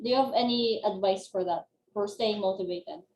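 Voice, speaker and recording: A woman's voice: mid-range voice, moderate pace, darkish tone. A woman from the Philippines, casually putting a question as an audience member. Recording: roomy, echoing voice.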